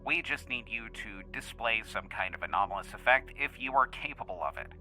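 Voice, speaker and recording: A man's voice: on the low side, lively intonation, brisk, pushed and bright, rough recording. A man playing a polite, formal researcher who speaks in a courteous, procedural manner in an audio drama. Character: polite, formal male researcher conducting a test.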